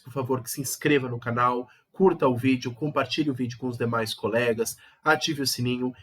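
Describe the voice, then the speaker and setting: A Brazilian man teaching law in an online video lesson, hoarse from a cold, explaining step by step and repeating key terms with rising emphasis. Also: mid-range voice, a bit bright. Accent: Brazilian Portuguese.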